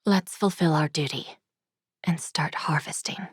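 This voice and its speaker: A woman playing a cold, regal schemer in a video game, with a deliberate, formal, incantatory delivery. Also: low-pitched, husky, no room sound, whispered, clean studio sound. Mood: cool, satisfied. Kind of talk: theatrical game villain monologue.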